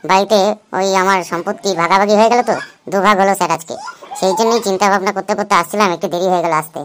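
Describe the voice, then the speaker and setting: A woman's voice: mid-range voice, fairly even intonation, very fast, slight background noise, close to an everyday voice. A woman voicing a cheeky young schoolboy in a comedy cartoon, glib and pleading with excuses. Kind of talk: Bengali comedy cartoon dub.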